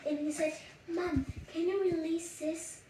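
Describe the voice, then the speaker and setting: A storyteller improvising a bedtime story in a halting, childlike way, repeating words along the way. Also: very high-pitched, fairly even intonation. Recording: echoey room, distant mic, faint noise, clicks.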